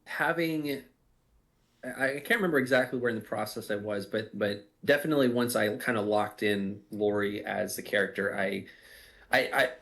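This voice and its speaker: A man's voice: lowish voice, normal speed, vocal fry, fairly bright tone. A man talking casually in a group commentary as a drama's creator, thinking aloud in a rambling way. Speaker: audio drama writer and director.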